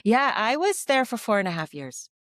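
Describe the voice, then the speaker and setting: A woman's voice: a little high, very animated, normal speed, fairly bright tone, no echo, studio quality. A middle-aged woman from the Philippines, a former tech executive giving articulate, reflective interview answers with the odd light laugh.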